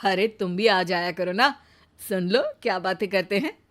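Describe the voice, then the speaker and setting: A woman's voice: medium pitch, normal speed, a bit bright, lightly acted. A woman playing a devoted, protective adult son and husband in a family story, with a casual, familiar manner. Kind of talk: acted Hindi family moral story.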